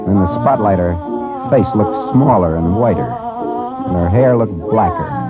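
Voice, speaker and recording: An actor playing a firm, businesslike American wartime intelligence agent in a 1950s radio drama, with a clipped, matter-of-fact delivery. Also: male voice, sits low, expressive, moderate pace. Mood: brooding narration. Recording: tinny 1950 radio recording, music behind.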